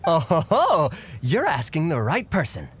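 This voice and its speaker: Game raven, male: nervous, pompous suitor, fawning and stammering, extremely animated delivery. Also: a little high, a little slow, slightly rough, heavily acted. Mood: pompous, helpful. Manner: stammering, fawning, overblown courtly speech. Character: flustered, flirtatious male talking raven, pompously formal. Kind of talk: theatrical video game character acting.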